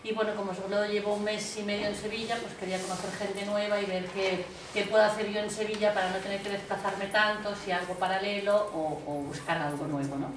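A woman with a Catalan accent talks about herself fluently and conversationally. She sounds distant in an echoey room with steady background noise. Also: medium pitch, brisk, few pauses. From Barcelona, Spain. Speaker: marketing director and caterer. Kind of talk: self-introduction at a meetup.

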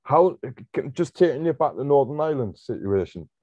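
A man's voice: mid-range voice, big pitch swings, no echo. A podcast host in his thirties puts questions to his guest, often stopping and starting over before he gets them out. His voice comes through a little dull and muffled, and the sound is dry with no room around it. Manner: halting, restarts questions. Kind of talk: interview questions. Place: home studio room.

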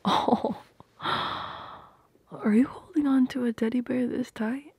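A woman's voice: high-pitched, steady tone, dry recording, heavily acted. A woman playing a tender, protective girlfriend in a romantic ASMR roleplay, whispering intimately and affectionately, very close to the microphone. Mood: surprised, touched. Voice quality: breathy, whispery. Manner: slow, intimate, affectionate murmuring. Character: tender, protective, doting girlfriend murmuring at bedtime.

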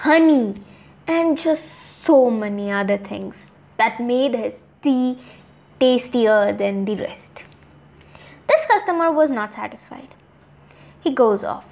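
A woman in her twenties with an Indian accent narrates a moral story for children, expressively, with dramatic pauses. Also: fairly high pitch, darkish tone. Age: 20s. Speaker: storyteller, host.

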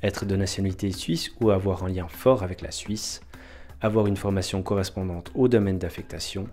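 Low-voiced man narrating over music. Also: normal speed, darkish tone. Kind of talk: scripted narration.

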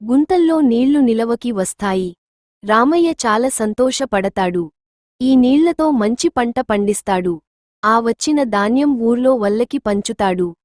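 Higher-voiced woman narrating a story, dry recording. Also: expressive, a little slow, darkish tone, no echo.

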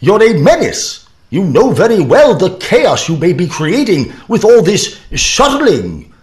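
High-pitched, scolding, pompous professor played by a man. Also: huge pitch swings, a little slow, darkish tone, heavily acted. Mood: stern objection. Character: stern, indignant, fussy male professor. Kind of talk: audio drama acting.

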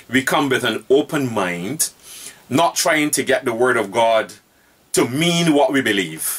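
Earnest preacher man, husky and distant. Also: medium pitch, fairly bright tone. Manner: deliberate, repeats key phrases for emphasis. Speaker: pastor teaching Bible study. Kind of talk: earnest Bible study teaching. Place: room with bookshelves, indoors.

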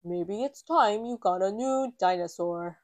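A woman voicing a mild, slightly grumbly father pig in a children's storybook, in a measured way. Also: medium pitch, dry recording, close to an everyday voice. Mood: kindly.

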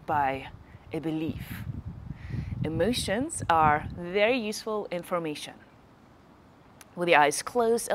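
A woman with a slightly low voice guides a meditation. She speaks gently and slowly, leaving long pauses between short phrases. The recording has clear background noise with small clicks.

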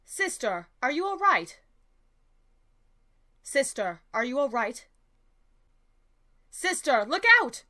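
A woman voicing a concerned, regal cartoon alicorn princess in an earnest, deliberate manner. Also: sits high, big pitch swings, pushed and bright, exaggerated voice.

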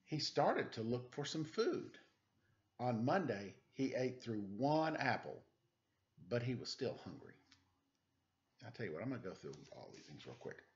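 A man in his forties doing a casual story time, chatting and reading aloud in a playful, expressive way. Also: mid-range voice, a little slow, slight background noise. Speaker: storyteller reading a picture book to children. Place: living room at home. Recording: dull, lo-fi webcam sound.

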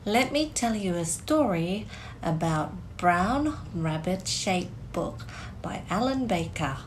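A woman in her thirties reading a picture book aloud to children, expressive and deliberate, drawing out key words in a small room. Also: on the low side, poor audio. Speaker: storyteller, teacher reading to children. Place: small curtained room indoors.

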